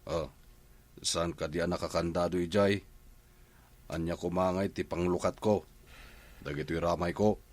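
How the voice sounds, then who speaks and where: Man acting as low-voiced, defensive ex-convict. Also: fairly even intonation, measured pace, long pauses, darkish tone. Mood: protesting. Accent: Filipino, Ilocano. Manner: defensive, colloquial. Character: male accused ex-convict, defensive, protesting innocence. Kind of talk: comedy drama dialogue.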